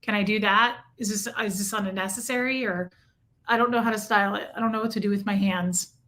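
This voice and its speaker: A woman's voice: medium pitch, fairly even intonation, a little fast, a bit bright. A woman hosting a casual livestream from home, chatting and reacting to viewer comments. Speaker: livestream host reading chat. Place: home room with a heater.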